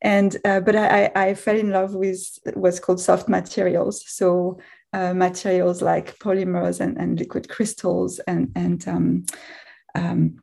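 Gravelly woman, mellow. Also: on the low side, monotone. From France. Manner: halting, frequent restarts and self-corrections. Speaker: guest physics professor. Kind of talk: casual podcast interview.

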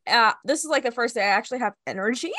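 A young woman co-hosting a podcast, chatting casually with a stuffy nose from a cold, nervous and quick to laugh. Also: on the high side, animated, sharp tone, no room sound, clean studio sound. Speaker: podcast co-host. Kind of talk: casual chat.

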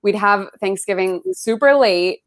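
A young woman raised in the Bay Area, with Spanish as her first language, chatting as a guest in a relaxed interview. She rambles warmly through memories, peppering her speech with "like" and "um". Her pitch sits in a middling range. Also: unhurried. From San Francisco Bay Area, US. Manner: chatty, full of "like" and "um", animated when recalling. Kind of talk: casual conversational interview.

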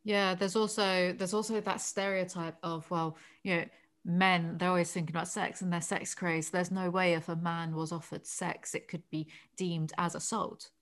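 A woman's voice: fairly low pitch, level tone, normal speed. A woman interviewer chatting in a casual, informal conversational way.

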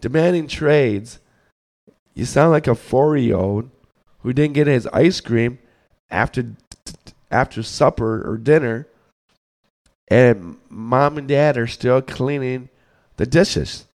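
A hoarse man, getting over the flu, hosts a podcast and delivers a blunt, scolding sports rant, often repeating words as he thinks. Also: mid-range voice, takes it slowly, pauses often, a little mellow. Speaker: podcast host.

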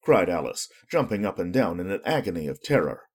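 A man's voice: low-pitched, big pitch swings, brisk, slightly rough. A man narrating a storybook as a scripted audiobook, telling it expressively.

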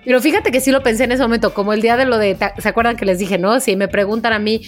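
Casting: Mexican woman, higher-pitched, animated conversational storyteller for a casual podcast, faint music bed. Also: quick pace. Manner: animated, acts out imagined dialogue. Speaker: podcast co-host. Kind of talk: casual chat and comic anecdotes.

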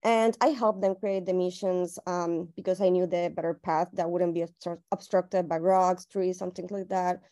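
A woman's voice: on the low side, fairly even intonation, moderate pace, hardly any pauses, mellow. A young woman from Puerto Rico, a medical student, answering interview questions with lots of fillers and restarts. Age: young adult. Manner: loose, many fillers and restarts.

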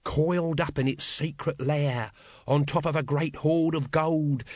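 A man voicing a shifty, sullen, vile little low-ranking watchman in a comic audiobook. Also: dry recording, studio quality.

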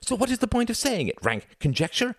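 A man voicing a sharp-tongued mother of grown sons, shrill, snapping and exasperated, in a dramatised story reading. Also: big pitch swings, a little fast, dry recording, studio quality, heavily acted.

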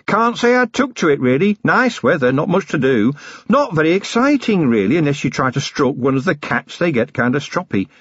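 A man's voice: on the high side, sing-song, fairly quick, a bit bright, breathy edge, no room sound, highs cut off, voice pushed up, full character voice. A man voicing a large, jolly, good-natured woman constable, chatty and breezy in clipped colloquial phrases, from a fantasy audiobook.

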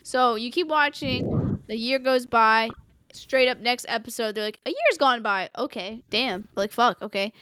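A woman co-hosting a casual anime recap chat, expressive and blunt, swearing freely in slangy talk. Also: fairly high pitch, unhurried, slight background noise. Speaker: podcast co-host recapping an anime.

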